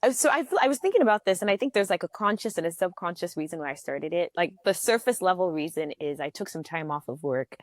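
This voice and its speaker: Low-voiced young woman from Nigeria. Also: expressive, quick pace, hardly any pauses, dry recording, studio quality. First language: Yoruba. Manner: reflective, thoughtful storytelling with frequent "like" fillers. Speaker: podcast guest, language community founder. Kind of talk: casual conversational interview.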